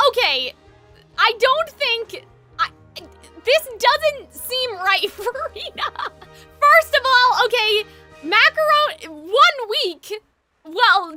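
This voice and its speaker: Very high female voice, bright, animated game streamer, rambling, opinionated, music behind. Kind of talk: casual live gaming commentary.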